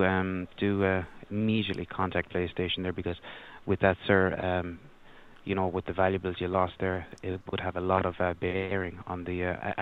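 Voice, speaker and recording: A man working as a customer service agent on a phone call, speaking politely and apologetically, with halting delivery. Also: deep voice, clear, resonant tone. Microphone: telephone. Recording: narrow tinny phone line.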